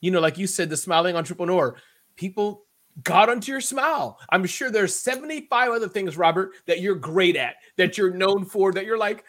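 A man in casual conversation, energetic and loud, projecting his voice and tossing in playful jokey asides. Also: high voice, moderate pace.

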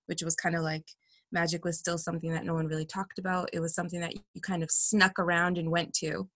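A woman's voice: on the low side, fairly bright tone, dry recording. A woman speaking casually and informally as a guest in an interview, peppering her talk with filler phrases like "like". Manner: informal, frequent "like" and "you know". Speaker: podcast guest, artist and crystal healer.

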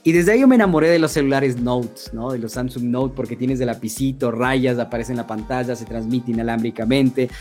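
A man's voice: medium pitch, a little fast, hardly any pauses, darkish tone, soft background music. A man with an Ecuadorian accent giving an informal sales lesson with role-played examples, often checking in with short tag questions. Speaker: sales and marketing trainer.

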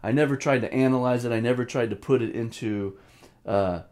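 A man's voice: mid-range voice, a little fast, a little mellow. A man in his fifties giving an informal drumming lesson with personal reminiscence, speaking reflectively and repeating phrases for emphasis. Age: 50s. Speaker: drum teacher, host.

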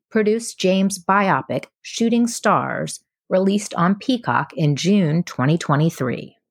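Woman podcast host, slightly low, dry sound. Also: dry recording. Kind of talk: scripted podcast intro and interviewing.

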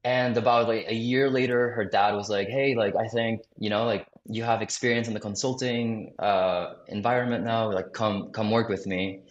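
A young man from South Florida talks casually as a podcast guest. His voice sits fairly low. He rambles through fillers and restarts, and the room around him sounds echoey. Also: steady tone, moderate pace, hardly any pauses, highs cut off. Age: young adult. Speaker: sales director, podcast guest. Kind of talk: casual interview talk.

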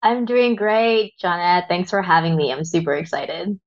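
Reflective low-voiced woman in her thirties. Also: normal speed, muffled recording. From Vietnam, raised in Texas. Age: 30s. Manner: candid, reflective. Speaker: guest. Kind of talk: reflective interview talk.

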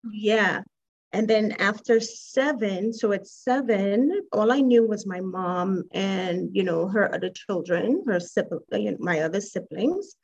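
A woman's voice: mid-range voice, expressive. A woman from Trinidad and Tobago, a guest telling her life story in an interview, reflective and hesitant, over muffled call audio. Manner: reflective, unhurried, with soft laughs and hesitations.

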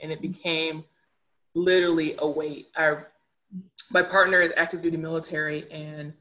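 A woman's voice: sits low, flat delivery, moderate pace, pushed and bright, rough recording. A young adult woman speaking candidly as a podcast guest, earnest and reflective, repeating words for emphasis. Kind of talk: candid conversational storytelling.

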